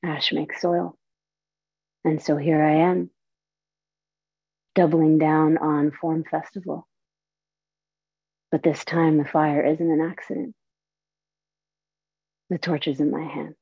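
Young woman storyteller from Saudi Arabia, earnest and unhurried, lowish voice, dull dry recording. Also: flat delivery, husky, no echo. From Saudi Arabia and Palestine. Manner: earnest, slow, deliberate delivery. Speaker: storyteller and performer. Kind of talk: theatrical monologue and personal talk. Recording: dull, lo-fi audio.

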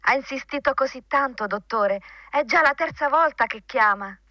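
A woman's voice: high-pitched, expressive, normal speed, sharp tone, dry recording, muffled recording. An actress playing a flustered, deferential female secretary in a radio drama, apologetic yet insistent. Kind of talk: Italian radio drama acting.

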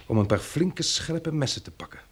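An actor playing a level-headed, pragmatic man, a wary and protective disaster survivor in a Dutch radio drama, with a gravelly, husky voice. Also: a little high, expressive, normal speed, a little hiss or hum, close to an everyday voice. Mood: practical, reassuring.

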